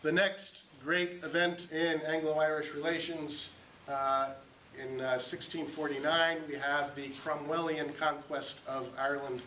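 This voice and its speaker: A man's voice: on the high side, measured pace. A man giving a conference talk with dry humor and frequent fillers, recorded from across a room at a university event. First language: English. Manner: relaxed, wry lecturing with frequent "uh" fillers. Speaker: conference speaker. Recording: echoey room, distant mic, faint hiss.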